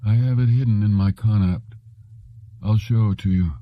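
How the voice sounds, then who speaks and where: A man's voice: lowish voice, moderate pace, pitched above the performer's own voice, lightly acted. Man doing a drugged, mumbling half-conscious man. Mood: drugged, dreamy. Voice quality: dull, drowsy, mumbled. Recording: roomy, dull lo-fi audio.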